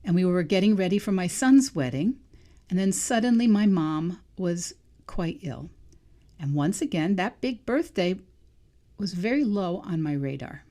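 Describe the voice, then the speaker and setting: Reflective woman in her sixties, lower pitch. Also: animated, measured pace, warm tone. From New England. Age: 60s. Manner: thoughtful, musing, self-questioning. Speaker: podcast host and vegan life coach. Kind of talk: solo reflective podcast monologue.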